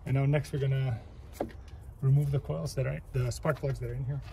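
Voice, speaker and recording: A man giving a casual, step-by-step how-to while he works, speaking plainly and unhurriedly with pauses mid-sentence. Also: mid-range voice, flat delivery. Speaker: DIY car repair demonstrator. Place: outdoors, beside an open car engine bay. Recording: clear background noise outdoors.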